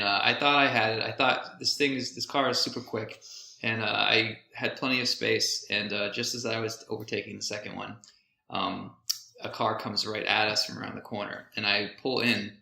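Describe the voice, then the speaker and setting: Male podcast co-host, crackly fry in a forward, bright voice, joking and self-deprecating, conversational. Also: lowish voice, steady tone, normal speed, reverberant. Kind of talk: casual banter and storytelling.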